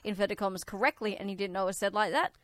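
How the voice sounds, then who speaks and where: A woman's voice: medium pitch, very fast, fairly bright tone, no echo. A woman co-hosting a radio show, teasing in a dry, matter-of-fact way. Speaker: radio co-host. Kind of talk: casual on-air banter. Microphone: studio microphone.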